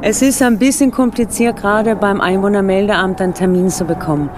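A woman's voice: mid-range voice, a little fast, noisy background. A middle-aged Swedish woman speaking German with a Swedish accent, a relocation consultant answering radio interview questions hesitantly, with many fillers and small grammar slips. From Sweden.

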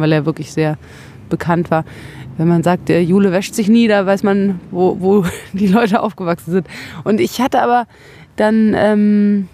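A woman from West Berlin in a casual interview, with a medium-pitched voice. She talks reflectively as a guest, feeling her way toward her words while holding clear opinions. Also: dark tone. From West Berlin, Germany. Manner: thoughtful, searching for words, opinionated. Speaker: interview guest, singer-songwriter.

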